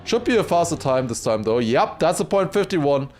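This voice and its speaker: A man livestreaming excited esports commentary mixed with casual chat, raising his voice to a shout at big moments. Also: a little high, moderate pace. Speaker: esports watchparty streamer and commentator.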